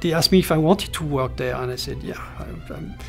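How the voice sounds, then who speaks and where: French guy in an interview, kinda gravelly, stops and restarts a lot, soft music underneath. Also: fairly high pitch. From France. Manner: halting, many fillers and restarts. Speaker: animation character designer. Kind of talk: talking-head interview, recollections.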